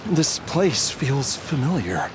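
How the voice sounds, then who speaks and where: A troubled young man from a video game. He speaks slowly and haltingly in a mid-pitched voice that moves expressively, earnest and effortful. Music sits behind him. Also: sing-song, noisy background. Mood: uneasy, searching. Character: earnest, troubled ailing young man searching his lost memory. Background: background music.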